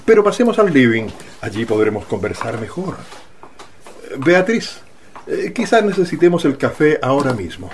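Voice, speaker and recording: A man's voice: medium pitch, very animated, moderate pace, a little hiss or hum, lightly acted. A man playing a courteous, hesitant Chilean son-in-law and father in a radio drama. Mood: troubled, earnest.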